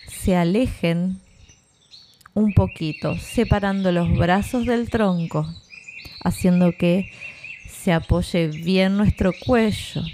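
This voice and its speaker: A woman with a Rioplatense Spanish accent guides a relaxation meditation as a yoga instructor, in a calm, unhurried, soothing manner. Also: on the low side, vocal fry, slight background noise.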